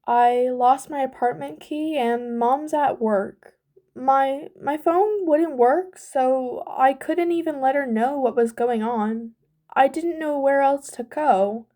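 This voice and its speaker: A woman's voice: high-pitched, takes it slowly, warm tone, voice pushed up. A woman voicing a frightened, shy teenage boy who speaks in a quavering, tearful way.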